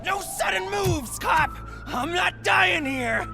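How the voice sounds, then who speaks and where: An actor playing a desperate male gunman holding a hostage in a video game cutscene, yelling in a high, strained voice. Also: animated, slow, gravelly, music in the background, heavily acted. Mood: frantic threatening.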